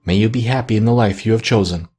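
A man voicing a gentle, sorrowful young woman who speaks softly and steadily, in an audiobook reading. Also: fairly low pitch, quick pace, slightly rough. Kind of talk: audiobook character voice.

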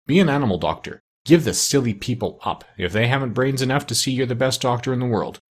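A man voicing a bossy, proud, clever female pet parrot in a high voice, from a storybook. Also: fast, lightly acted. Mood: insistent. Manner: insistent, instructive.

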